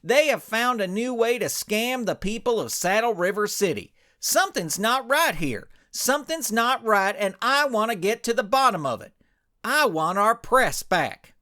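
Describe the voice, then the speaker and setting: An indignant cowboy hero from a comic audio story, acted out by a man. The voice is forceful and lifted high, with a folksy drawl and big swings in pitch. He carries himself like a blustering team leader. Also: big pitch swings, normal speed, exaggerated voice. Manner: forceful, shouting.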